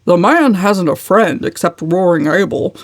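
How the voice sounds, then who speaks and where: A woman's voice: fairly low pitch, animated, a little slow, dry recording, clean studio sound. A woman voicing a pompous, disapproving middle-aged uncle in a dramatic storybook reading, with a blustering manner. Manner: pompous, stammering when flustered.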